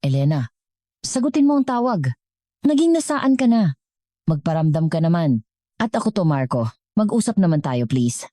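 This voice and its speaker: A woman's voice: on the low side, very animated, normal speed, pauses often, dark tone, no room sound, studio quality. A woman voicing a worried husband who pleads insistently with his missing wife in an audio drama. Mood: pleading.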